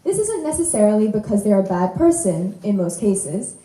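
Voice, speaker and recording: A teenage girl presents a prepared talk at a conference with an earnest, mellow delivery. Her voice echoes around a large hall. Now and then she trips over a word and corrects herself. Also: medium pitch, very animated, moderate pace. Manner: earnest, occasional stumbles and self-corrections. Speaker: student presenter. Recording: roomy hall echo.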